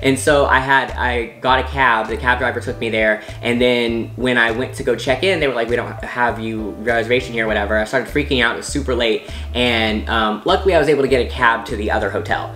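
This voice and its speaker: A man's voice: medium pitch, fairly even intonation, brisk, hardly any pauses, pushed and bright. A young American man talking casually to camera as a travel vlogger, rambling with lots of restarts and fillers. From United States. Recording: roomy echo with faint music.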